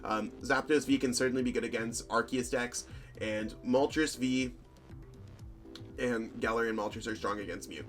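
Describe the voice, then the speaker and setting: A man with a slightly hoarse voice, hosting a casual, rambling commentary over card game deck lists, full of fillers and self-corrections. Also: medium pitch, moderate pace, fairly bright tone, soft background music.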